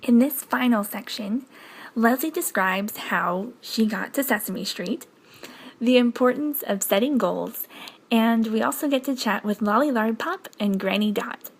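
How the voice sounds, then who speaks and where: Slow, breathy woman interviewer. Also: on the high side. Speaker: interviewer, host. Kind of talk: recorded intro and listening responses.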